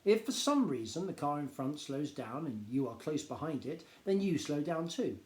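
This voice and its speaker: A man reading a popular non-fiction book aloud like a teacher, explaining in a measured, expressive way. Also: on the high side. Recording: echoey, roomy sound.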